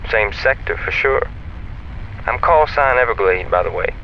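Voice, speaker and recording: A man's voice: on the low side, animated, normal speed, highs cut off, noisy background, close to an everyday voice. An actor playing a folksy, flirtatious spaceman on a radio channel in a sci-fi audio drama, easygoing and teasing. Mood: curious, easygoing.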